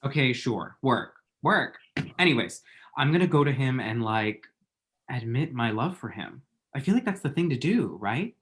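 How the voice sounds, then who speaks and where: A man's voice: mid-range voice, very animated, moderate pace, a bit bright. Man acting a chatty, flippant lovesick young guy. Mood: hyped, rueful. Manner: chatty, dry, self-deprecating, pop-culture asides. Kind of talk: screenplay table read.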